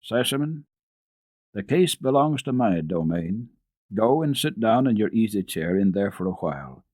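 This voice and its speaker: A man playing a kindly, calm family doctor in a storybook, questioning and reassuring in a gentle, measured manner. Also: lowish voice, no echo, clean studio sound. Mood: firm. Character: kindly male family doctor, calm, soothing, quietly probing.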